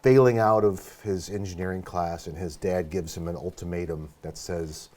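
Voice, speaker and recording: A man answering as an interview guest, telling a story in a halting, conversational way. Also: sits low, steady tone, a little mellow. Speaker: film professor and director, interview guest.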